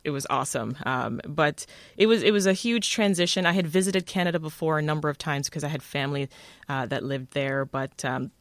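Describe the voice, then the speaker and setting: A woman from Jamaica, a new radio host, telling stories from her childhood in a relaxed, upbeat interview. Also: deep voice, fairly quick, no room sound. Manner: upbeat, laughs often, warm storytelling. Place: radio studio.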